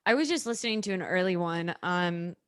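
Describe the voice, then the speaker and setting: A woman in her thirties, co-hosting a podcast, speaking hesitantly with many ums and pauses. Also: on the low side, expressive, a bit bright, clean tone, no echo, clean studio sound. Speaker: podcast co-host. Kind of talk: casual podcast chat.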